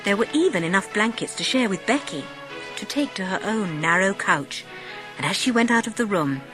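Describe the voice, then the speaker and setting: A woman narrating a dramatised storybook as an audiobook, with expressive, measured phrasing. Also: medium pitch, over music.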